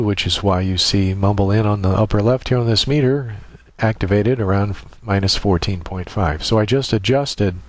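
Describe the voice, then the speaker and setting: A man narrating an informal step-by-step software tutorial, methodically explaining and thinking aloud as he demonstrates. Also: on the low side, no room sound.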